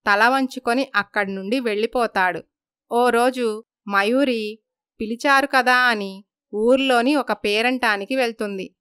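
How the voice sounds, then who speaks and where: A woman's voice: a little high, measured pace, fairly bright tone, smooth, no room sound, clean studio sound. A woman narrating a scripted story as storyteller.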